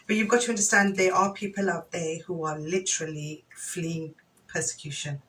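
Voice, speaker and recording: A woman's voice: lowish voice, fairly even intonation, moderate pace, a bit bright, slight background noise, low-quality recording. A woman, a guest lawyer on a radio discussion, explaining things earnestly and firmly.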